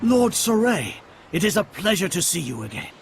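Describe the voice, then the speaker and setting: A man's voice: high-pitched, very animated, moderate pace, creaky, over music, full character voice. An actor playing a polite, deferential male servant in a video game, speaking formally and courteously. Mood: respectful greeting. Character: polite, deferential man serving a lady. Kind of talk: English video game dub acting.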